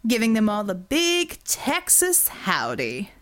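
Woman as a slow, smooth-talking male boss. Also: a little high, huge pitch swings, a bit bright, exaggerated voice. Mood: smug, breezy. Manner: slow, politician-like oratory.

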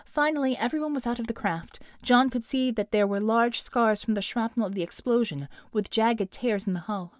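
Female audiobook narrator, fairly high voice, dramatic scripted storytelling, very dry studio-like sound. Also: normal speed, hardly any pauses, no room sound.

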